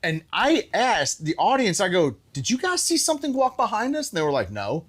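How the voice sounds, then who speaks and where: A man hosting a show, telling casual stories and acting out bits of conversation in an animated way. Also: high voice, fairly quick, breathy edge. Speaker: show host. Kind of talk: casual group storytelling.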